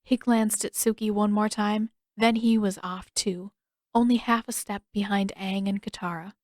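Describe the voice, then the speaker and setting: A woman's voice: mid-range voice, fairly even intonation, moderate pace, dry recording, studio quality. A woman reading scripted fiction as an audiobook narrator.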